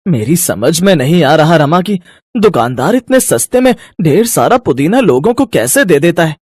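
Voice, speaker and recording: An earnest poor village vendor, acted by a man, speaks in a high, very lively voice. He sounds pleading and a little husky, in dramatized storybook style. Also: moderate pace, no room sound, studio quality. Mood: troubled. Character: earnest, troubled poor mint seller. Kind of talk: Hindi moral story voice acting.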